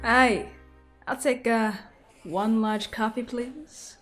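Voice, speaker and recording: A woman's voice: a little high, fairly even intonation, slow pace, a bit bright, soft background music. A woman playing a cheeky, unflappable small-time villain in a comedic audio play, flippant and taunting in manner. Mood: casual, quirky.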